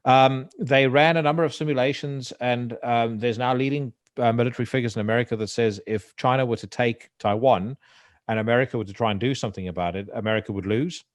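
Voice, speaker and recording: A man's voice: medium pitch, fast, no room sound, studio quality. A man hosting a podcast, talking bluntly and profanely with many restarts. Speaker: podcast host. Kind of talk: casual chat.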